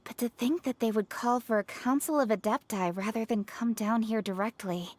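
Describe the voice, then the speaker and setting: A woman voicing a proud, candid young official in a video game, speaking briskly and formally with self-assurance. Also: a little high, a little mellow, a little hiss or hum, close to an everyday voice. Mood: skeptical, blunt. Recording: music behind the voice.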